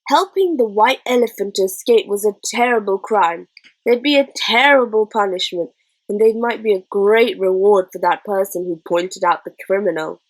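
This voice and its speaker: A woman's voice: mid-range voice, moderate pace, poor audio. A woman reading a children's adventure story aloud as scripted narration. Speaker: audiobook reader.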